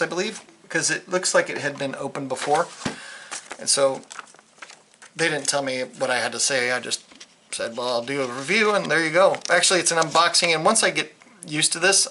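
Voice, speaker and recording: A man's voice: a little high, pushed and bright, a little hiss or hum. A man giving a casual, unscripted product review, rambling and hesitant, often trailing off mid-thought. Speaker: hobbyist video reviewer.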